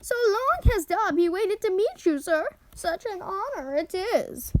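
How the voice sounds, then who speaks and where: A woman voicing a small, tearful, devoted male house elf with a high, squeaky voice and an earnest, servile manner. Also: expressive, a little slow, no room sound, low-quality recording. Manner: earnest, servile, always says sir. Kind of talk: audiobook character reading.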